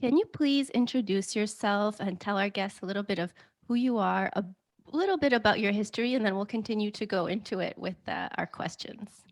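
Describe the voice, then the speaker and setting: A woman's voice: medium pitch, brisk. A woman who hosts an interview podcast, asking her guest friendly, polite questions. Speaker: podcast host. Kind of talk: scripted intro then interview questions.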